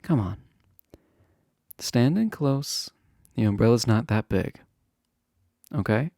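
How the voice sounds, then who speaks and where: Casting: male actor as popular college guy, chatty and teasing, easygoing flirt, unhurried delivery with lively pitch swings, romantic audio roleplay. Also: gravelly, no room sound, studio quality. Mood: coaxing, flirty. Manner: chatty, teasing, hesitant filler-laden casual phrasing. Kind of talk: romantic roleplay audio acting, casual intimate chat.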